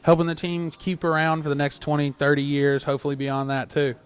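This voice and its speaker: A young man chatting as a guest on a podcast, easygoing and plain-spoken, with a country feel. His voice is smooth and clear and sits slightly high for a man. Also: monotone, brisk. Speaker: guest, arena football team captain. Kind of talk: casual interview chat. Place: casino boardroom.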